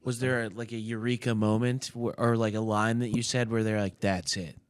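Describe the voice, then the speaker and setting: A man's voice: fairly low pitch, level tone, brisk, smooth. A man hosting a show, asking questions and reacting in casual interview chat.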